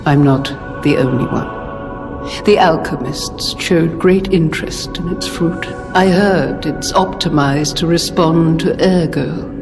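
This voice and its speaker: A woman playing a dignified, protective older hotel guardian in a video game, speaking in a measured, solemn, genteel way. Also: deep voice. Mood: grave. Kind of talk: scripted game cutscene dialogue. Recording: long reverb under music.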